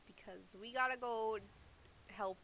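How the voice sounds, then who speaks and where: A woman's voice: a little high, unhurried, creaky, a bit bright, a little hiss or hum, poor audio. A woman game streamer giving casual, rambling live commentary and explaining the story as she plays, often breaking off to restart her thought. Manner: informal, rambling, self-interrupting.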